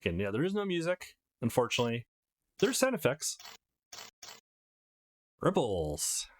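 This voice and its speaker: Male let's play host. Mid-range pitch, very animated delivery, informal and upbeat, switches between chat and reading aloud. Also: moderate pace. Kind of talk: casual let's play commentary, reading game text aloud.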